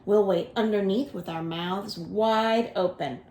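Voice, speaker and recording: A woman's voice: medium pitch, a little slow, darkish tone, a little hiss or hum, natural voice. A woman voicing a greedy, scheming bad crocodile in a storybook, emphatic and coaxing as it relishes its hunger. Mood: sly, commanding. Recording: roomy echo of a home room.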